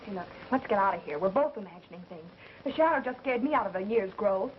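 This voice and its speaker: Actress as feisty wisecracker, muffled old film. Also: female voice, mid-range voice, lively intonation, quick pace, close to an everyday voice. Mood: rattled, defensive. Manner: snappy wisecracking. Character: feisty, sarcastic, skeptical 1930s woman. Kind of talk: 1930s mystery film acting. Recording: muffled, hissy 1930s film soundtrack.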